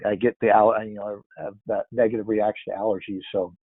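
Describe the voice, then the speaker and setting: A man's voice: fairly low pitch, little pitch movement, fast, no echo. Congested man talking charts on a tinny line. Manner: rambling, thinks aloud with frequent restarts. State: congested, stuffy-nosed. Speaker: market analyst. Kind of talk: casual chart-by-chart market commentary. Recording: tinny phone-like dry audio.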